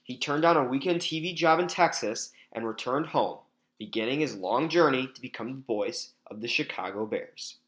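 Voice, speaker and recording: American guy narrating a sports podcast piece from a script, medium pitch. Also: moderate pace, a bit bright.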